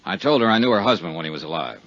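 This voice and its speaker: A man's voice: sits low, expressive, racing, fairly bright tone. An actor playing a wry, laconic male insurance investigator in a 1950s radio drama, speaking in a dry manner. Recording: muffled 1950s radio broadcast.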